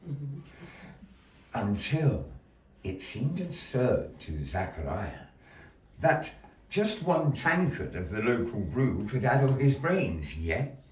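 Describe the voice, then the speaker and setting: A man hosting a live show as storyteller, with grandiose, deliberate phrasing and playful asides to the audience. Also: fairly low pitch, darkish tone. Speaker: storyteller and master of ceremonies. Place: indoor gallery. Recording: roomy echo, voice distant from mic.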